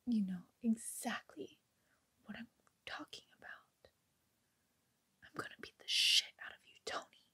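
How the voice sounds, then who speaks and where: A cruel, controlling young girlfriend, played by a young woman, hisses commands in a very breathy, airy whisper. She drawls slowly and menacingly at a slightly raised pitch, right up against the mic in a small bedroom. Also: bright, forceful tone, heavily acted. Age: young adult. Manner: slow, menacing, commanding whispers close to the camera. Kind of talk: ASMR roleplay. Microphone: close camera mic.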